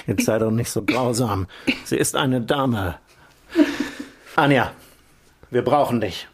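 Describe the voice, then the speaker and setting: An actor playing a cynical, scheming middle-aged intelligence official in a radio play, smooth and insinuating. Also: male voice, mid-range voice, takes it slowly. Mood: smooth coaxing. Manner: insinuating, falsely cordial. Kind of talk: German radio play acting.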